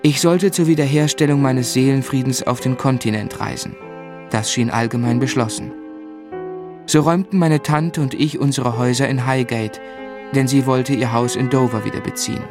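An actor playing a grieving young husband who tells his life story in a radio play, sombre and measured. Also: medium pitch, over music, close to an everyday voice. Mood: subdued narration. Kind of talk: literary radio play narration and acting.

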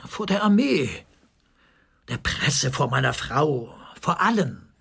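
Ein Schauspieler spielt in einem deutschen Krimihörspiel einen verzweifelten Armeeoffizier, der Entlarvung fürchtet, aufgewühlt und flehend, mit rauer Stimme. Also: male voice, a little high, big pitch swings, slow pace, gravelly, fairly bright tone, breathy edge, exaggerated voice. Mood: panicked. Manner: agitated, pleading. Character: desperate army officer fearing exposure and ruin. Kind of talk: German radio crime drama acting.

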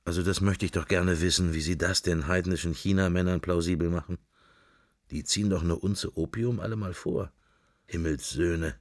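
A man's voice: sits low, steady tone, normal speed, no echo, clean studio sound, natural voice. An actor playing a wry, irreverent man musing to himself in clipped fragments, as inner monologue in a radio drama. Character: wry, musing male sceptic, inner thoughts while observing church.

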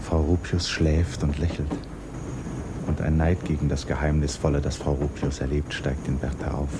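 An actor playing a calm, reflective storyteller, giving measured literary narration in a 1960s German radio drama. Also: male voice, a bass voice. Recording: noisy vintage radio play recording.

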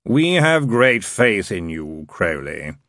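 A man voicing a dread male demon lord who speaks slowly and threateningly. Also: on the low side, big pitch swings, a bit bright, no room sound, studio quality, exaggerated voice. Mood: ominous. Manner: very slow, solemn, threatening.

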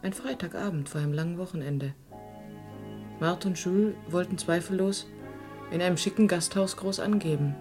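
A sceptical, indignant female suspect played by an actress in a radio crime play. Her voice sits on the low side, pointed and questioning, with music behind it. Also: normal speed, natural voice. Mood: reasoning. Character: sceptical, indignant, reasoning woman under police suspicion. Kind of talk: German radio crime drama acting.

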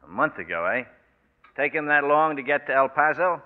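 A man's voice: on the high side, expressive, normal speed, natural voice. A male actor with an American accent playing a tough, cynical, wisecracking private detective, sardonic and clipped, in a 1940s radio noir. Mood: sardonic, needling. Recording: tinny, reverberant 1940s radio recording.

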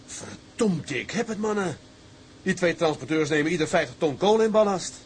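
An actor playing a resolute, thoughtful tugboat captain of the early 1900s in an audio drama, deliberate and commanding. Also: male voice, sits high, animated, a little hiss or hum. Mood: excited, resolute. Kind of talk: Dutch audio drama acting.

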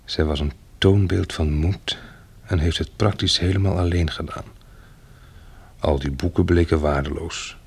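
A man's voice: low voice, steady tone, measured pace, gravelly, slightly rough, heavily acted. An actor playing a practical husband and father surviving a catastrophe in a Dutch radio drama, with a dry, matter-of-fact way of talking. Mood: solemn. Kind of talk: Dutch radio drama acting, diary narration and dialogue.

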